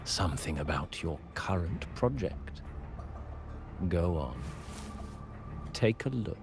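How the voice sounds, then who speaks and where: A man's low, slow voice as a sinister shadow double in a video game. He is smug and manipulative, goading and tempting, with game music clearly behind him. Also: sing-song, heavily acted. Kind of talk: dramatic video game voice acting.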